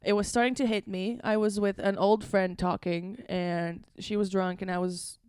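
A young woman with a Latvian accent, co-hosting a podcast and telling an animated story with a playful edge. Her voice is smooth and resonant, recorded close and dry with no room sound. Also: mid-range voice, normal speed, dry recording. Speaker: podcast co-host. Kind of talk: casual comedic chat.

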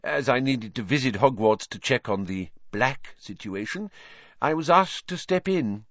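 A man's voice: mid-range voice, animated, unhurried, fairly bright tone, no room sound, muffled recording, voice pushed up. A man voicing a fussy middle-aged male government official with a raised, breezy delivery.

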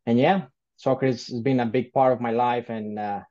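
A man in his late twenties from Colombia tells his story as a podcast guest. He talks haltingly, full of fillers and restarts. His voice comes through a slightly muffled remote call line at a middling pitch. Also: steady tone, mellow. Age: late 20s. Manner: hesitant, many fillers and restarts. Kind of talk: casual storytelling interview.

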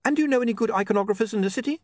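A man voicing a mild, earnest young man with a polite, halting manner. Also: very high voice, no echo, pitched above the performer's own voice. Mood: hesitant, earnest.

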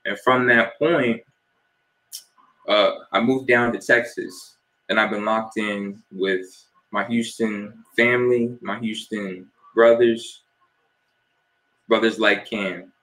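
A young man from New Jersey co-hosts a casual podcast in a fairly low voice. He keeps it relaxed and loose, tossing out shout-outs and questions to his co-host. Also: slow pace, long pauses, poor audio.

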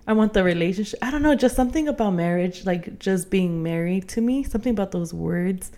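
A woman's voice: mid-range voice, animated, brisk, dark tone. A woman co-hosting a podcast in casual personal chat, thinking aloud in a hesitant, conversational way. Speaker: podcast co-host.

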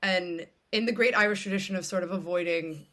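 A woman's voice: lowish voice, fairly quick, fairly bright tone. A woman hosting a casual conversational interview, talking in a candid, informal and emphatic way. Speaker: podcast host.

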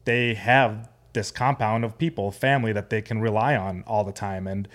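A man's voice: on the low side, fairly even intonation, normal speed, fairly bright tone. An American man speaking as a podcast guest in an earnest, explanatory, conversational way. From United States and Jordan.

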